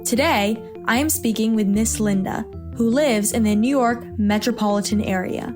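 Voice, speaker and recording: A young woman hosting a podcast, asking prepared interview questions in a clear manner. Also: a little high, normal speed, music in the background. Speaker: podcast host.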